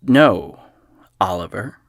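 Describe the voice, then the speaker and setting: An actor playing an inquisitive podcaster who investigates weird history in an audio drama, speaking in a serious, probing way. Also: male voice, low voice, lively intonation, slow pace, no room sound, studio quality, exaggerated voice. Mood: wary.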